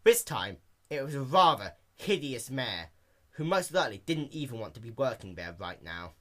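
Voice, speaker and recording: A man reading a story aloud as an amateur narrator, in an animated scripted style. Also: mid-range voice, normal speed. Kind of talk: animated fan-fiction narration.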